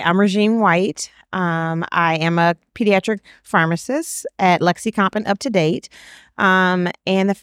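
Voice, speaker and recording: A woman's voice: lowish voice, smooth, no room sound, studio quality. A woman, a pharmacist, giving a casual answer in an interview.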